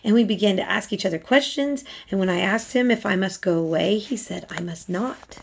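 A woman's voice: medium pitch, fairly quick, gravelly, breathy edge. A woman voicing a curious, matter-of-fact young girl in a storybook, plain-spoken and insistent. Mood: wondering.

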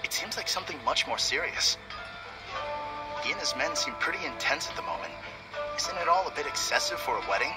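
Nervous gossipy villager in a game, high swingy voice, loud music. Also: male voice, sing-song, fairly quick, poor audio. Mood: uneasy.

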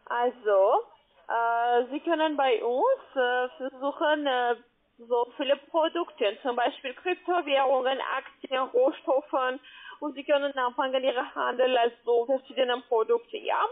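A woman with a foreign accent, not a native speaker, giving a phone sales pitch as a call centre agent in halting, broken phrasing. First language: not German. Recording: muffled tinny phone line.

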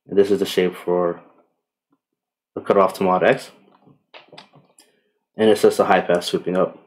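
Guy with a deep flat voice walking thru a tutorial, casual and unhurried. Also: poor audio. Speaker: tutorial presenter. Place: indoors, voice-over on screen recording.

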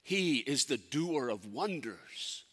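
A man performing a theatrical monologue as a solemn, commanding ancient prophet, speaking deliberately with dramatic pauses. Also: medium pitch, big pitch swings, a bit bright, slightly rough, full character voice. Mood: fervent, challenging. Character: prophet Isaiah, solemn, commanding, counseling a fearful king. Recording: echoey hall with long reverb.

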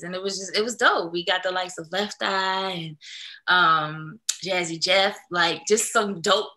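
A young woman from Philadelphia, a singer, telling her life story in a relaxed interview over a video call, with fillers and easy laughs. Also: on the low side. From Philadelphia, USA. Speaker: singer, guest. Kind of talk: casual interview. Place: small indoor room.

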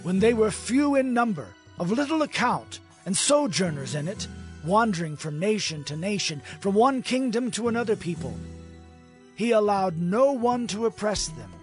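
A man reads scripture in a dramatized audio production, his voice sitting high and moving freely up and down. The delivery is measured and expressive. Gentle music plays underneath. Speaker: scripture narrator. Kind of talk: dramatized Bible reading. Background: soft music.

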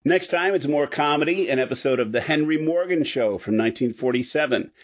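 A man's voice: a little high, a little fast. A man co-hosting a podcast in a casual, teasing, joking manner. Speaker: podcast co-host. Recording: dull lo-fi sound.

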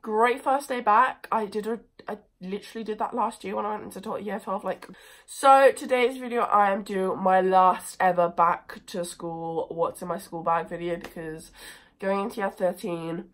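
A teenage girl from England with a cold and a croaky voice, chatting casually and with a self-mocking liveliness to a phone camera in her bedroom. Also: medium pitch, moderate pace. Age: teens. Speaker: vlogger. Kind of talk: casual chat. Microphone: phone camera at desk distance.

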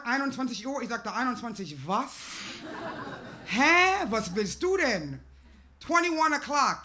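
A woman in her thirties from the United States, a native English speaker with an American accent, doing an animated stand-up routine on a small stage into a handheld mic. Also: high voice. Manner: brash, animated, with English phrases mixed in. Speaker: stand-up comedian. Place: small laundromat stage before a live audience.

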